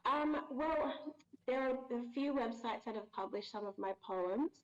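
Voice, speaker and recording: A woman's voice: on the high side, measured pace. Thoughtful woman on a dull call line. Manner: thoughtful, hesitant, many fillers and restarts. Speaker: voice actress guest. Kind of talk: casual interview answers.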